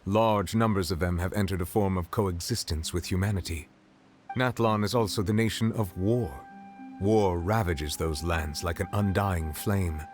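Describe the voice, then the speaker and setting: A man voicing a dignified, reserved ancient dragon statesman in a video game, formal and measured. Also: low-pitched, steady tone, darkish tone, natural voice. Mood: solemn, formal. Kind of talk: video game character acting. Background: faint game music.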